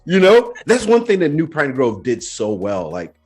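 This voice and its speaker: A Nigerian man hosting a podcast, telling a story in a relaxed, animated way and acting out the voices he quotes. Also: a little high, moderate pace, soft background music. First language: Yoruba. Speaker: podcast host. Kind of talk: casual storytelling chat.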